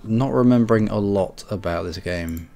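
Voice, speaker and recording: Brief: congested male streamer, deep gravelly voice, rambling self-corrections over faint music. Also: normal speed, dark tone. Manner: rambling, self-correcting, clears throat often. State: head cold. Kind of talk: casual game commentary.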